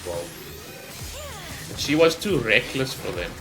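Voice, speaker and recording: A man comments on a game video in a casual, excited way, rambling and circling back on his thoughts. His voice sits at an ordinary pitch, with faint music underneath. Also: slow pace. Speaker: gaming video host.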